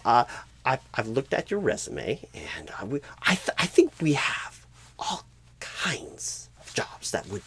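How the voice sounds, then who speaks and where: Halting, swooping, bumbling job recruiter. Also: male voice, fairly low pitch, vocal fry, fairly bright tone, breathy edge, exaggerated voice. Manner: halting, stammering, odd pauses and sudden swoops. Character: bumbling, clueless, flustered male job recruiter, celebrity impression. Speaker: ASMR roleplay performer. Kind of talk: ASMR comedy roleplay.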